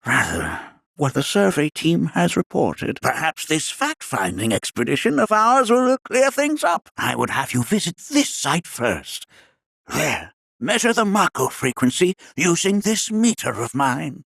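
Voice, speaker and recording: A man playing a whimsical, absent-minded elderly scholar in a video game, raspy and creaky, speaking in formal, old-fashioned phrases. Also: on the high side, sing-song, a little slow, a bit bright, no echo, clean studio sound, exaggerated voice. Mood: pensive, instructing. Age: older. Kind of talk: video game character acting.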